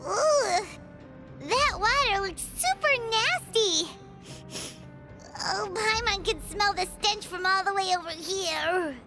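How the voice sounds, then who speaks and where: Game companion role: little fairy girl, very squeaky, excitable and quick to indignation, with bouncing melodic delivery. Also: over loud music, exaggerated voice. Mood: disgusted. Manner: exclamatory, expressive. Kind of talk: video game voice acting.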